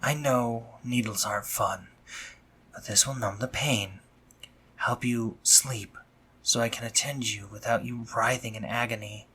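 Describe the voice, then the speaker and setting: A man playing a doting, dominant spider-monster doctor in an intimate roleplay audio drama, husky and breathy, speaking tenderly yet commandingly. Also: mid-range voice, steady tone, measured pace, bright, forceful tone. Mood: soothing. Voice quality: husky, breathy, sometimes whispering.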